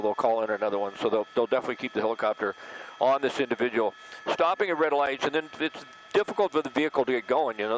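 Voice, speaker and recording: Fast-talking man reporting live, noisy background. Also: medium pitch, fairly bright tone. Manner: explanatory, speculating as events unfold. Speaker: helicopter news reporter covering a police pursuit. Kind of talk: live breaking-news commentary. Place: aboard a news helicopter.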